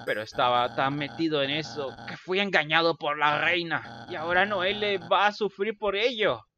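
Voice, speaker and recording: A man voicing a vain, insecure young blue bird in a video game fandub, theatrical, halting and self-important. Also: fairly high pitch, animated, pushed and bright, muffled recording, faint music behind. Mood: dejected, confessional.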